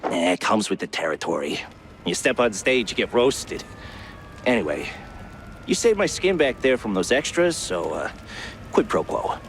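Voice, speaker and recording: A mid-pitched, casual cartoon mouse stage designer, chummy and a little hesitant. The delivery is lively and excitable, noir game acting over faint background noise. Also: male voice, normal speed, pauses often. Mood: casual, evasive.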